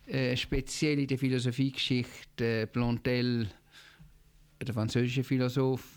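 An older man from Zurich with a Swiss German accent, answering radio interview questions in a measured way with frequent pauses and fillers. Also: medium pitch, gravelly. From Zurich, Switzerland. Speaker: guest, Jesuit professor.

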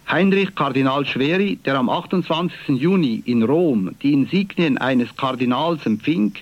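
A man's voice: on the high side, dry recording, muffled recording. Formal Swiss man reading radio news. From Valais, Switzerland. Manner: formal, measured, clear delivery. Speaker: radio church news presenter.

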